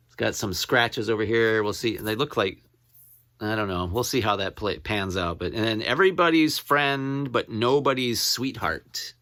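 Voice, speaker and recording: Slow talking guy doing a casual show-and-tell, halting, repeats himself, pretty dry close sound. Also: on the low side, pushed and bright, no echo. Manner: rambling, halting, repeats titles as he reads them out. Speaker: record collector showing his finds.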